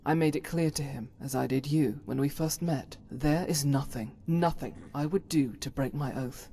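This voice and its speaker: A devout female cleric of a fantasy game played by an actress, with a dark, mellow tone. She is grave and formal, measured in her zeal and utterly sure of her holy oath. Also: very deep voice, breathy edge, full character voice. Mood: righteous, solemn. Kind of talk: video game character dialogue.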